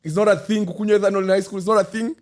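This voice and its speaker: A man in his thirties with a Kenyan accent, hosting a casual chat podcast in a mix of English and Swahili, animated and quick to laugh. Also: sits high. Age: 30s. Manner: animated, laughs often, repeats himself when excited. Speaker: podcast host.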